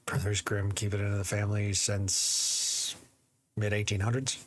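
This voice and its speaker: A man hosting a storytelling channel, chatting casually with many fillers before a reading, his voice slightly hoarse. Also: lowish voice, completely flat delivery, moderate pace, a bit bright, slight background noise. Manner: rambling, hesitant, many fillers, chuckles.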